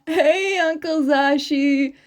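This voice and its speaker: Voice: high voice, takes it slowly, voice pushed up. A woman voicing a sheepish, eager boy who explains himself, in a story reading. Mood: sheepish, explaining.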